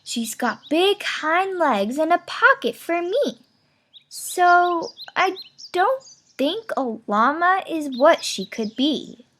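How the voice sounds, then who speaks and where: Woman as a polite, high-voiced young storybook animal. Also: big pitch swings, fairly bright tone, heavily acted. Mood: polite, explaining. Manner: polite, emphatic, slow explaining. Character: polite young storybook animals patiently explaining their mamas.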